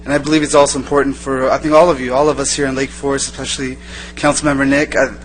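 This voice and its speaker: A man gives informal public comment in a council meeting. He talks quickly and earnestly, improvising as he goes and repeating himself, with music faintly behind him. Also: mid-range voice, flat delivery. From born in Iran, raised in the United States. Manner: earnest, repetitive, loosely improvised. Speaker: resident giving public comment.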